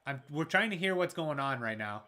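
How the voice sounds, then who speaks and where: A man doing casual live play-by-play of a football game, excitable, his voice raspy from screaming. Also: fairly high pitch, fairly quick. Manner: excitable, shouts at big plays. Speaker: gaming streamer doing play-by-play. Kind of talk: casual live sports commentary.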